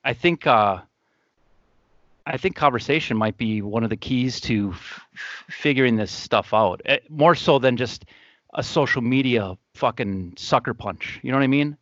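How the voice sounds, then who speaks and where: A man's voice: mid-range voice, moderate pace, fairly bright tone, dry recording, lo-fi sound. A man hosting a podcast in a casual, earnest, conversational way. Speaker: podcast host.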